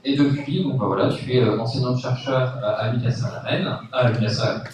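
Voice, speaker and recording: A man's voice: medium pitch, fairly quick, darkish tone, far from the mic, slight background noise. A man hosting a science talk, introducing the speaker in an informal, joking and stumbling way. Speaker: event host. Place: large lecture hall. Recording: echoey lecture hall, from afar.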